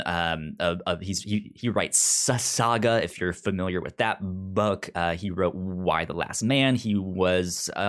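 A man co-hosting a podcast, explaining stories in casual conversation, often repeating words and syllables as he goes. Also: sits low. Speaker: comics podcast co-host. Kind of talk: casual chat, retelling and explaining comic plots.

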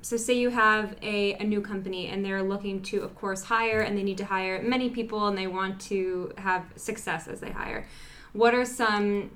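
A woman hosting a podcast, reading a short intro and then asking interview questions in a friendly, steady way. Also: medium pitch, normal speed, a little hiss or hum. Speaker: podcast host.

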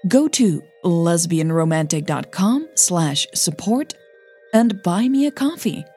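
A woman's voice: on the low side, mellow, slightly rough. A woman hosting a fiction podcast and reading scripted story narration.

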